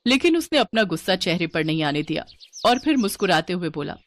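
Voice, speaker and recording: Animated woman storyteller, widely varied pitch. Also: brisk. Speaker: narrator. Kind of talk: scripted storytelling narration.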